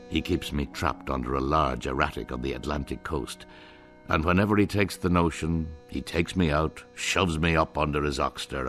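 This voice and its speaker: Man, wry storyteller, very deep voice, dry close sound, faint music. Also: fairly bright tone, dry recording. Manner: wry, storytelling with rising bursts of emphasis. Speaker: narrator. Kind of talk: first-person radio drama narration.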